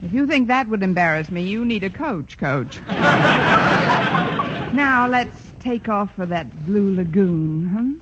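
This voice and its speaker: An actress playing a sardonic, wisecracking English teacher in an old radio comedy. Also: female voice, medium pitch, animated, slow. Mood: wry. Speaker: schoolteacher. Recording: dull old radio broadcast, noisy.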